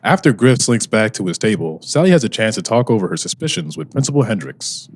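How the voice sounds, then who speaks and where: A man's voice: lowish voice, very animated, brisk. A man narrating a scripted radio drama in a wry, tongue-in-cheek way.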